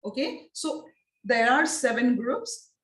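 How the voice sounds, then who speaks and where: A woman with an Indian accent leading an online phonics training for teachers, speaking deliberately and repeating her questions to the trainees. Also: on the high side, lively intonation, reverberant. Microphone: video call.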